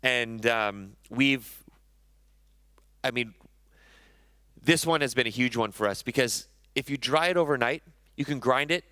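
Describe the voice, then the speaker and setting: Bright, crisp-voiced chef on stage. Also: male voice, medium pitch, unhurried, long pauses, no room sound, studio quality. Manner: conversational, self-correcting, enthusiastic about food. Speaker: chef giving a conference talk. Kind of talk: conference talk. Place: conference stage.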